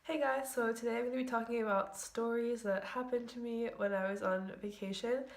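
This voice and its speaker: A woman's voice: a little high, fairly even intonation, fairly quick, faint music behind. A young woman telling a chatty, giggly storytime vlog full of "like" fillers. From United States. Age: young adult. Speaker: vlogger.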